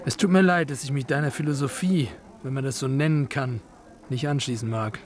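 An actor playing a guarded, irritable husband in a German radio drama, speaking curtly, dryly and evasively. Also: male voice, mid-range voice, fairly quick, faint music behind, close to an everyday voice. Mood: dismissive, guarded. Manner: curt, dry, evasive.

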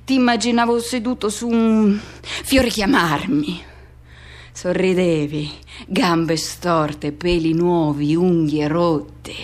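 A woman acting a radio theatre monologue as a yearning, tormented man recalling first love, in short, halting, insistent repeated phrases. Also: on the low side, fairly even intonation, a little mellow. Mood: tender, wistful. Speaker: actor.

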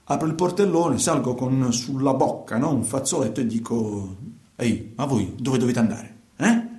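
An actor playing a guilty, self-justifying truck driver in a radio play, with a southern Italian accent and a halting, repetitive, colloquial delivery. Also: male voice, medium pitch, a little mellow, lightly acted. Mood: uneasy, explaining. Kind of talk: radio theatre monologue, colloquial confession. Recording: echoey room.

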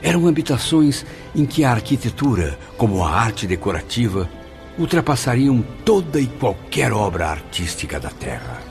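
A remorseful, reflective spirit. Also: male voice, medium pitch, sing-song, normal speed. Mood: solemn. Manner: reflective, solemn narration. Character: remorseful man, former suicide, recovering spirit. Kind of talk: radio drama acting and first-person narration. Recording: radio drama with clear music underneath.

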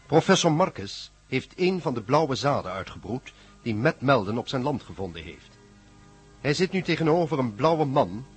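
A man's voice: medium pitch, close to an everyday voice. Male narrator, played by an actor for a sci-fi radio serial: scripted recap, mid-range voice, music underneath.

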